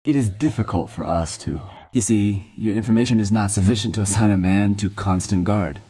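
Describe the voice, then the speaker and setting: An actor playing a matter-of-fact, reasoning police officer with a husky voice in a crime radio play. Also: male voice, lowish voice, fairly quick, mellow.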